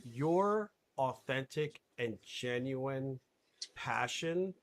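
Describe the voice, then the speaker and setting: A man hosting a live webinar interview, talking in an animated, emphatic way and laughing often. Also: medium pitch, very slow. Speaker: webinar host.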